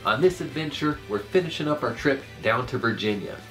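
A man's voice: medium pitch, expressive, a little fast, poor audio. A man narrating and commenting on a hike in a casual travel vlog style. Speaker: hiking vlogger and narrator. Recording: loud music behind the voice. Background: music with plucked guitar.

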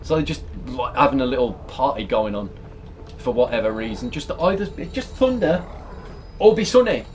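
A British man in his thirties chats casually through a horror game let's play. His pitch moves around a lot and he swears in exasperation. Clear background noise and game ambience sit behind his voice. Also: expressive, normal speed, darkish tone. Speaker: let's player. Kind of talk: casual reaction commentary over a horror game.

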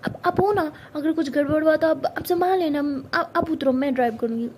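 A woman's voice: high voice, moderate pace, a little mellow, slight background noise, lightly acted. Woman doing a pouty clingy young lover. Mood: stubborn, whiny. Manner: whiny, teasing, pouty protests. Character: playful lovestruck young woman, clingy and stubborn.

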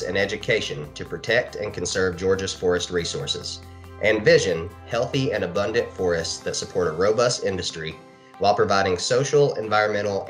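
A man's voice: deep voice, a bit bright. A man in his thirties reading a resolution aloud in formal style. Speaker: forestry employee reading a resolution. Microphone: webcam video call.

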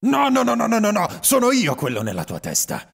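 A man voicing a scheming, taunting animatronic bear villain in a cartoon parody dub, with a theatrical gravelly delivery. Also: high-pitched, huge pitch swings, brisk, exaggerated voice. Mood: exasperated ranting.

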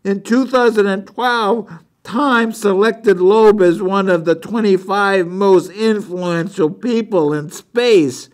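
An older man with a New England accent hosts a rambling book review in a halting, folksy way, repeating himself as he goes. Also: sits high. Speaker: show host. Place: small meeting room indoors.

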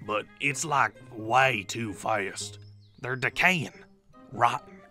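A man playing a folksy, excitable, good-natured farmer in a fantasy audio drama, full of homely exclamations. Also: mid-range voice, takes it slowly, faint music behind. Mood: worried, chatty.

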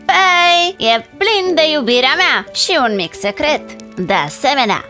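A woman voicing eager, emphatic female adventurers in an animated fairy tale. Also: sits high, faint music behind, heavily acted. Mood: cheerful.

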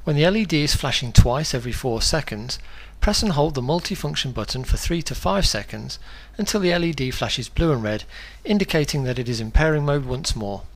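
A man reading a scripted instructional product guide in a clear, step-by-step way. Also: mid-range voice, animated, fairly quick, few pauses.

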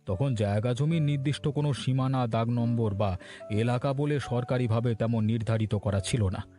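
A man acts out a scheming former village official for a cartoon. His voice is mellow and unforced, sitting in a middle register. He speaks deliberately, with false reassurance, over light music. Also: medium pitch, close to an everyday voice. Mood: measured, reassuring. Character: scheming middle-aged village ex-clerk, smooth-talking swindler. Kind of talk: Bengali cartoon voice acting.

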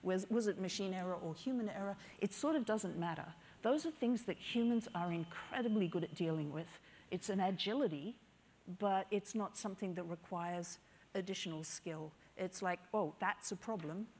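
A woman's voice: medium pitch, normal speed, a little mellow, slight background noise. A woman speaking as a panelist on a stage through a lapel mic, assured and pointed. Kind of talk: panel discussion. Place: stage in front of a backdrop.

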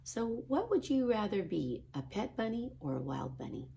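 A middle-aged woman, a kindergarten teacher, reading a picture book and chatting to children in an expressive style. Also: mid-range voice, normal speed, gravelly, slight background noise. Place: small classroom, indoors.

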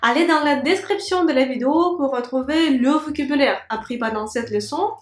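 A young woman with a Russian accent, a native Russian speaker, teaching a language lesson to camera in French with clear, careful enunciation. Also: high-pitched, roomy sound. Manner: clear, slow enunciation of words with gestures. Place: indoor room with a plant. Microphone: camera at medium framing.

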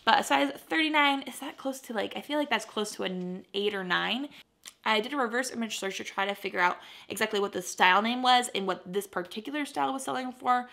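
A woman's voice: medium pitch, gravelly, bright, forceful tone. A young adult woman with an American accent, chatting casually and enthusiastically to camera as a vlogger showing her finds at home. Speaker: vlogger, clothing reseller. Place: bright furnished living room at home.

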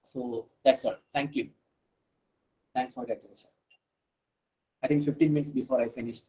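A man in his thirties, a scientist giving an online lecture and answering questions, speaking haltingly with many fillers over a headset on a video call. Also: mid-range voice, fairly even intonation, mellow, poor audio. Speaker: fisheries scientist giving a webinar talk.

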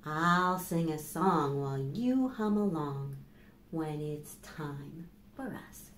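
A middle-aged woman hosting a children's storytime, speaking slowly and deliberately to young listeners as she reads and asks questions. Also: sits low, animated. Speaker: library storytime host. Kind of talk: children's storytime, reading picture books aloud. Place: small plain indoor room. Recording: echoey room with faint background noise.

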